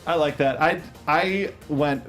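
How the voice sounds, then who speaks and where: A man's voice: a little high, slow, a bit bright, soft background music. A man hosting a casual panel discussion about films. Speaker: panel show host.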